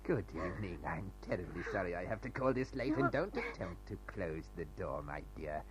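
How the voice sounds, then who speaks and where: An actor playing a sinister, doddering foreign spy in an old radio thriller, outwardly polite, fussy and given to stammering and repeating himself. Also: male voice, deep voice, sing-song. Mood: menacing. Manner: stammering, repetitive, fussy, drawn-out phrasing. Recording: muffled, noisy old radio recording with hum.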